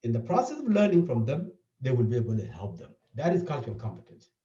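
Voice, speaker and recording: South Sudanese man with a lively, earnest voice. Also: medium pitch, fast. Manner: earnest, explaining, repeats key points. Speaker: therapist. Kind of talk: interview. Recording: dull, echoey, from a distance.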